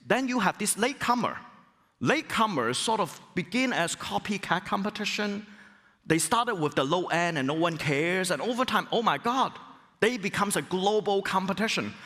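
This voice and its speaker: A middle-aged man from Hong Kong, a business school professor, giving an animated keynote talk with rhetorical questions and exclamations. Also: high voice. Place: large conference hall. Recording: long hall reverb.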